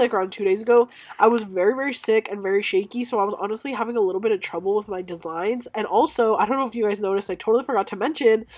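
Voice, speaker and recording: A young woman with a congested, stuffed-up voice from being sick, chatting through a casual nail-tutorial voice-over at home, with lots of ums and self-corrections. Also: medium pitch. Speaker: nail art creator.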